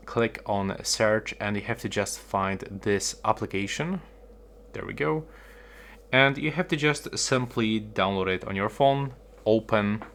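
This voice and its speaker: A man with a lowish voice hosts a how-to tutorial. He narrates each step casually, in simple instructional phrases. The recording carries some faint background noise. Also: fairly bright tone. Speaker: tutorial host.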